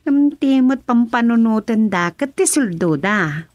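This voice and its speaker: A woman playing a young wife who is worried and sharp-tongued, nagging her husband with emphatic speech. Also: on the high side, expressive, unhurried, no echo, lo-fi sound. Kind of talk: radio drama acting.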